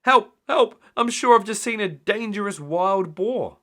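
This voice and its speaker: A man's voice: sits high, animated, unhurried, fairly bright tone, voice pushed up. A man voicing a stubborn, blustery older male lighthouse keeper in a storybook, exclaiming and grumbling. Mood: panicked.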